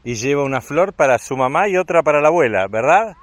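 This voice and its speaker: A man's voice: a little high, expressive, fast talker, dry recording. A man from Argentina with an Argentine accent, narrating a family walking trip outdoors in a chatty, upbeat way and encouraging his young son. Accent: Argentine Spanish. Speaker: vlogger and father. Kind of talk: travel vlog narration while walking. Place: outdoors on a rural walking trail.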